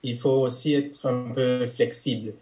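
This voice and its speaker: A man's voice: medium pitch, steady tone, a little slow, warm tone, soft background music. A man with a Canadian French accent, a polyglot guest on a podcast, talking hesitantly with many restarts and fillers. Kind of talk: casual conversation.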